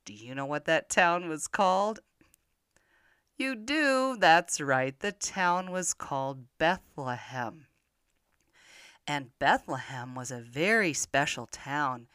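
Older woman, low-pitched animated children's storyteller. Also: slow pace, clean tone, dry recording, studio quality. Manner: expressive, simple questions addressed to young children.

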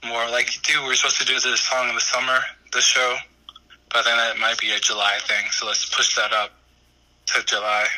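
A man's voice: medium pitch, little pitch movement, moderate pace, sharp tone, rough recording. A man co-hosting a casual podcast, teasing his friends in slangy banter. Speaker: podcast co-host.

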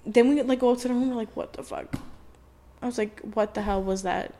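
A young woman with a high-pitched, nasal voice, co-hosting a podcast and telling a story in casual chat. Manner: fast, animated, quotes people in dialogue. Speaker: podcast co-host.

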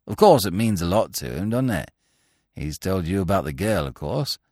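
Man voicing scheming young male gambler, low and quiet, smooth and insinuating, storybook reading, dry close sound. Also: sing-song, normal speed, dry recording. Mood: sly.

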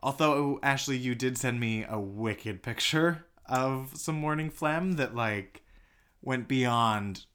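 A man co-hosting a podcast in easy, casual chat. He jokes and teases his co-host, and his delivery stays animated. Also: medium pitch, clean tone. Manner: joking, animated, quick teasing banter.

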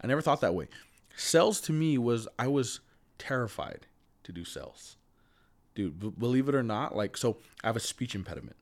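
A man's voice: mid-range voice, big pitch swings, normal speed. A man who stutters, a podcast guest talking earnestly and with emphasis in a casual conversation.

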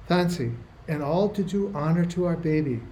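A man's voice: on the high side, moderate pace, warm tone, close to an everyday voice. A man playing a bustling, kindly working-class mother in a radio play, with a folksy, exclamatory manner. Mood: fond, deferential. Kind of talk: amateur radio play acting. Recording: noisy amateur radio play recording.

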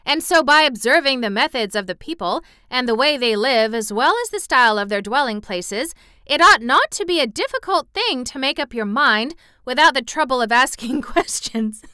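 A woman's voice: high voice, lively intonation, normal speed, pushed and bright, smooth, no echo, clean studio sound, pitched above the performer's own voice. A woman voicing a boy who rambles through endless, breathless nonsense speeches. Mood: pompous.

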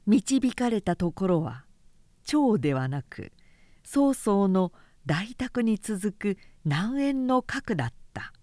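A woman narrating a literary audiobook in a measured, expressive, dramatic storytelling manner. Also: mid-range voice, no echo, studio quality. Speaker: narrator, reader.

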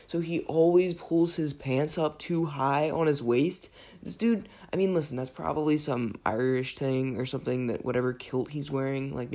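A podcaster with a raspy voice, under the weather, rambling through a self-deprecating, jokingly exasperated film rant. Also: medium pitch, darkish tone. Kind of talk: casual rambling film commentary.

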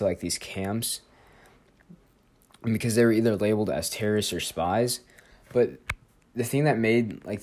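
A teenage boy with a lowish voice hosts a student podcast. He talks in a loose, unscripted way, stumbling over words, correcting himself and leaning on filler words as he goes. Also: a little mellow. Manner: rambling, with many fillers and self-corrections. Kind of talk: casual spoken presentation and interview.

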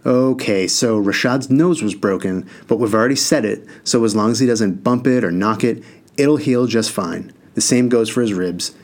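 A cheerful doctor gives a family a calm, reassuring update, as a man reads him. He explains things step by step at an even, unhurried pace. His voice stays low and easy throughout. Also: close to an everyday voice.

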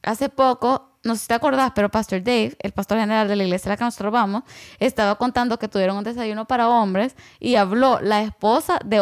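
A young adult woman musing aloud in casual conversation, rambling with lots of fillers and slipping in English words. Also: fairly high pitch.

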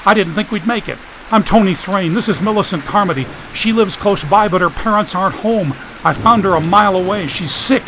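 A man's voice: sits high, expressive, fairly quick, fairly bright tone, slight background noise, pitched above the performer's own voice, low-quality recording. A man voicing an anxious, polite young man seeking shelter, speaking urgently in an audiobook. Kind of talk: audiobook character voice.

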